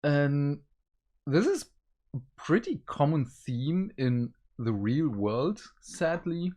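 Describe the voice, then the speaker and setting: Slow-talking man hosting a casual review. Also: fairly high pitch, sing-song, mellow. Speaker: review show host.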